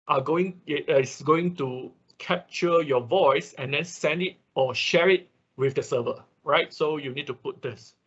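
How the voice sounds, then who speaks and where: A man's voice: a little high, a bit bright, husky. A man giving an informal hands-on technical tutorial as an online instructor, often checking in with short tags, recorded on a dull computer microphone. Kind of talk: hands-on technical tutorial, walking through steps. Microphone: computer microphone in an online class.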